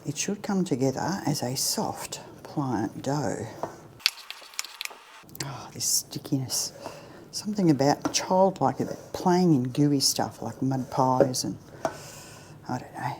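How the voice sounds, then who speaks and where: A middle-aged woman chatting her way through a baking tutorial in her home kitchen, self-deprecating and often dropping to a soft near-whisper. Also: very deep voice, animated, slow pace, pauses often, background noise. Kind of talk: casual cooking demonstration.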